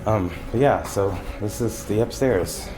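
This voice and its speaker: An actor playing an uneasy, compliant new homeowner in a fiction podcast, a man talking casually in a halting, hedging way. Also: lowish voice, music in the background, close to an everyday voice, poor audio. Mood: defensive, hesitant. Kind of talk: naturalistic fiction podcast dialogue.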